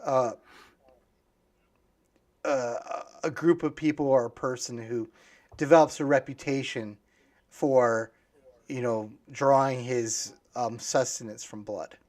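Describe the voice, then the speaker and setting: A man's voice: mid-range voice, steady tone, slow pace, long pauses, a little mellow. A man, an author, talking in a casual discussion, hesitant and thinking aloud as he goes.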